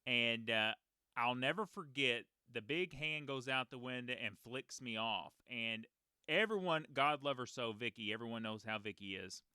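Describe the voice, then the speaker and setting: A man in his thirties with a deep voice, a race announcer hosting a casual podcast and telling long stories with many fillers. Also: expressive, normal speed, sharp tone, clear, resonant tone, no echo. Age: 30s. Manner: rambling storyteller, many fillers and restarts.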